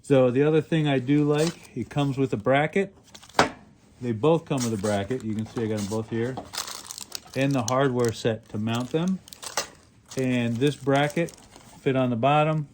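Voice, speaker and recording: Man reviewing gear over clear background noise. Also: mid-range voice, normal speed. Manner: plain-spoken, step-by-step explaining while handling gear. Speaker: radio reviewer, video host. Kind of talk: casual hands-on product review. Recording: clearly noisy home-made recording.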